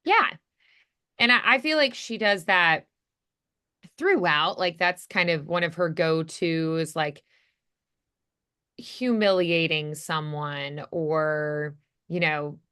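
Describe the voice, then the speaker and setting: A woman's voice: on the low side, fairly bright tone, smooth. A woman coaching voice acting in a casual, encouraging, conversational way. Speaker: voice acting teacher.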